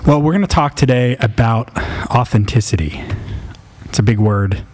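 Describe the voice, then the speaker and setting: A man's voice: mid-range voice, normal speed, darkish tone. A man in his late thirties hosting a podcast, chatting casually and telling personal stories in an informal, self-deprecating way. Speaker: podcast host.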